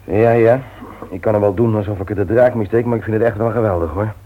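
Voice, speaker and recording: An actor playing an eager, teasing, ambitious young husband in a theatrical, mock-pompous 1960s radio serial style. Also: male voice, fairly low pitch, fairly even intonation, quick pace, lightly acted. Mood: cheerful, proud. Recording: dull vintage radio drama recording.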